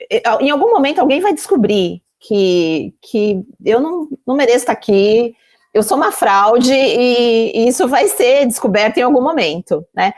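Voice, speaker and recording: A woman's voice: on the high side, darkish tone. Candid Brazilian woman telling her story. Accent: Brazilian Portuguese. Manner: candid, many fillers and restarts, self-questioning. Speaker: podcast guest.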